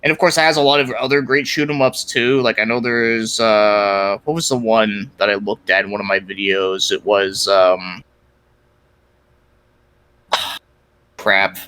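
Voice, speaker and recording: Young guy on a gaming podcast, bright forceful voice, rambles along all enthusiastic and slangy. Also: fairly low pitch, steady tone, measured pace, pauses often, smooth, low-quality recording. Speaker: podcast guest. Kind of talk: casual gaming podcast chat.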